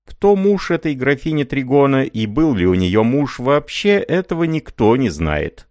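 A man's voice: mid-range voice, big pitch swings, unhurried, a little mellow, no room sound, studio quality, pitched above the performer's own voice. A man reading an audiobook, voicing a proud middle-aged aristocratic princess with a gossipy, haughty manner. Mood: confiding.

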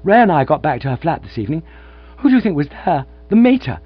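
A man voicing an excitable young man who gushes breathlessly, in a storybook reading. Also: on the high side, quick pace, slightly rough, voice pushed up. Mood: giddy. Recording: dull lo-fi with mains hum.

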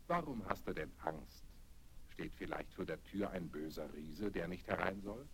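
A man playing a stern fairy-tale king and father in a 1970s children's audio play, measured and admonishing. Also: low-pitched, animated, background noise. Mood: questioning. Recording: vinyl record transfer with music.

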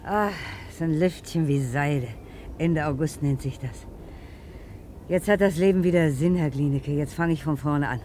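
An actress playing a worn, anxious yet hopeful German refugee woman in a radio play, speaking haltingly and confidingly. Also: low voice, mellow, a little hiss or hum, close to an everyday voice. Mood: relieved, hopeful.

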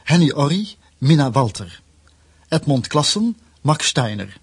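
A man with a husky voice reading scripted credits as a radio drama announcer. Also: a little high, sing-song, measured pace.

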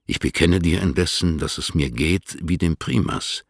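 An actor playing an elderly male poet in a literary radio drama, fond and measured, with formal, solemn diction. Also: sits low, creaky, slightly rough, dry recording, clean studio sound, full character voice. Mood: fond, admonishing. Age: older.

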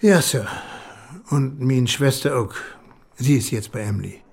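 A man's voice: mid-range voice, unhurried, creaky, a little mellow, slightly rough. An actor playing a humble, respectful older working-class man in a radio drama, deferential and earnest.

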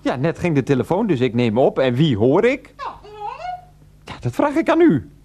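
An actor playing an exasperated middle-aged husband and son in a radio play, flustered, repetitive and placating. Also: male voice, on the high side, wildly swooping pitch, normal speed, dark tone, a little hiss or hum. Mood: indignant, exasperated.

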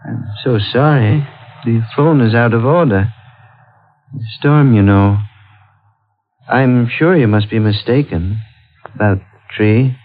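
Slow, sinisterly courteous blind host. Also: male voice, medium pitch. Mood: politely evasive. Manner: polite, formal, deliberate. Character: courteous, sinister blind man hosting stranded travelers. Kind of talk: 1940s radio thriller acting. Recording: muffled, noisy 1940s radio recording.

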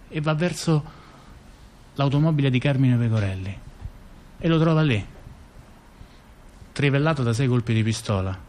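A man's voice: medium pitch, darkish tone. A man telling a story conversationally on stage, repeating words, stumbling and throwing in rhetorical questions. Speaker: performer in a two-person theatre dialogue. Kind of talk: conversational storytelling to an audience member.

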